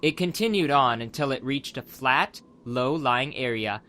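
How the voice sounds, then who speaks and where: A man's voice: mid-range voice, animated, a little slow, bright, forceful tone, a little hiss or hum. Male storyteller over background ambience. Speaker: narrator. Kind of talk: scripted dramatic audiobook narration.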